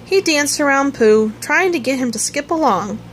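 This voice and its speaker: A woman reading a children's story aloud in an expressive storytelling manner. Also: sits high, normal speed, slight background noise. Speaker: storybook reader. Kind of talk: scripted children's story narration, read aloud.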